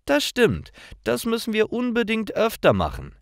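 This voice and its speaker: Cheerful young female friend in an audio story, voiced by a man: high, swooping, emphatic, dry close sound. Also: huge pitch swings, moderate pace, creaky, dry recording, heavily acted. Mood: cheerful, eager. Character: Tine, cheerful young woman friend explaining things.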